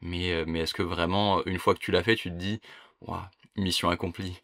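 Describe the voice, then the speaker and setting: A young adult man co-hosting a podcast in casual chat, relaxed and agreeable, with playful asides. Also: low-pitched, normal speed, gravelly, no echo, studio quality. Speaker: podcast co-host.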